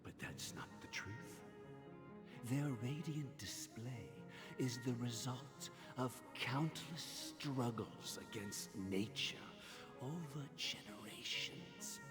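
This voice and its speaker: An actor playing a kindly, wise older male guardian in a video game, musing and softly patronising as he talks. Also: mid-range voice, takes it slowly, close to an everyday voice. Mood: storytelling. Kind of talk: video game cutscene acting. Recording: long reverb, music behind.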